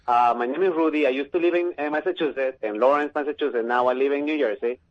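A man from Peru with a Peruvian Spanish accent, calling in to a sports talk radio show, eager and halting with fillers. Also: medium pitch. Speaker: caller. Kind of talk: call-in radio question. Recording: lo-fi phone-in line.

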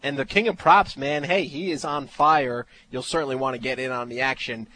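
Jersey-accented male radio host, bright tone, quick to tease. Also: mid-range voice, steady tone. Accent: New Jersey. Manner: joking, teasing. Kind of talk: casual sports radio banter.

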